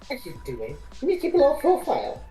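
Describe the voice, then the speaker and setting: A woman's voice: high-pitched, huge pitch swings, fast talker, mellow, roomy sound, faint music behind, exaggerated voice. A woman playing an exasperated, bossy young princess in a fantasy story reading, incredulous and scolding. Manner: incredulous, scolding, swooping emphasis.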